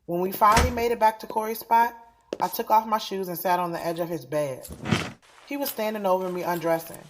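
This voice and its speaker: A woman's voice: medium pitch, a little fast, background noise, lightly acted. A woman playing a frank, sassy young woman in an audio drama, candid and slangy as she tells of her love life. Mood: confessional, frank. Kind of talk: first-person audio drama narration and dialogue.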